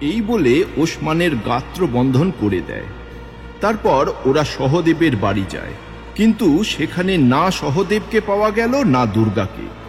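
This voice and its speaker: A man narrating a horror audio story in a slow, dramatic manner. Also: a little high, big pitch swings. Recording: long reverb with faint music.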